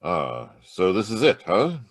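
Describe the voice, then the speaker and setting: An actor playing a brave, steady Mountie sergeant in an old-time radio adventure, authoritative and reassuring. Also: male voice, low-pitched, unhurried, no echo, clean studio sound, full character voice. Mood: commanding, reassuring. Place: remote online performance from a close mic.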